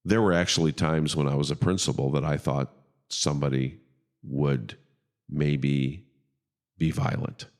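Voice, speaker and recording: A man's voice: very deep voice, steady tone, measured pace. A middle-aged man with a big voice, a pastor hosting a casual podcast chat and talking emphatically, often checking in with "okay?".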